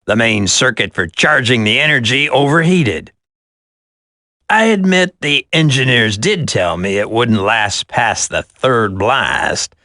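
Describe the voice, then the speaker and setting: A man's voice: medium pitch, expressive, takes it slowly, pushed and bright, no room sound, clean studio sound. A man playing a smug, sadistic terrorist villain in a video game, with a taunting, drawling delivery.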